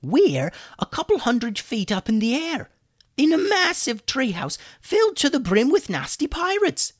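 A weary, sarcastic male chicken wizard, voiced by a man reading a storybook who mocks with dry jokes. His voice sits higher and swings in lively leaps. The sound is close and dry. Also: measured pace, no echo, exaggerated voice. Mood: skeptical.

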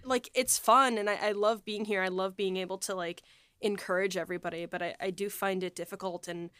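A woman's voice: lowish voice, a bit bright, no echo. A woman writer and producer in a casual behind-the-scenes discussion, talking in a reflective, rambling way.

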